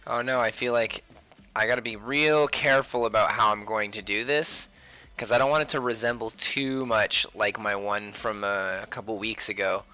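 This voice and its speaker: A man's voice: fairly low pitch, steady tone, moderate pace, pushed and bright, faint music behind. A man hosting a casual drawing livestream, chatting playfully with viewers and talking to himself as he works. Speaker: livestream host, sketch artist.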